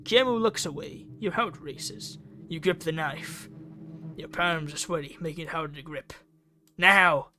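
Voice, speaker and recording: A man's voice: fairly high pitch, a little slow, sharp tone, faint music behind. A man reading a visual novel game aloud as dramatic narration, acting out lines and thoughts. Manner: acts out characters' lines and thoughts.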